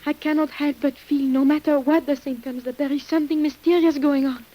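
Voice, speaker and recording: An actress playing a weary, secretive French nurse in an old radio drama, speaking formally and hesitantly with breathy sighs. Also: female voice, high-pitched, steady tone, fairly quick, warm tone, close to an everyday voice, low-quality recording. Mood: guarded, solemn. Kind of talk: 1950s radio drama acting. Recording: hissy old radio recording.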